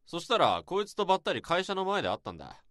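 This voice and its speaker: A rough-talking senior office worker in a radio drama, bossy and quick to lecture. His voice sits a little high and leaps widely in pitch. The sound is dry and close. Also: wildly swooping pitch, fairly bright tone, dry recording, heavily acted. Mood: gruff teasing. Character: gruff blunt senior office worker man. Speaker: senior coworker.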